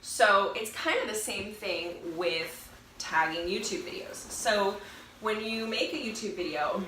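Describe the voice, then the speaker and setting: A woman's voice: mid-range voice, a little slow, bright, forceful tone. A young woman with a nasal, congested voice from a cold chats casually to camera as a fitness vlogger, rambling and correcting herself. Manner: chatty, rambling, self-correcting. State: getting over a cold. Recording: roomy echo, faint noise.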